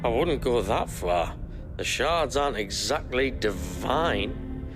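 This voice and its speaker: An actor playing a nervous, shifty crystal dealer in a video game cutscene, with colloquial, wheedling speech. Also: male voice, medium pitch, lively intonation, slow pace, music in the background. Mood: defensive, matter-of-fact. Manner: colloquial, hesitant pleading.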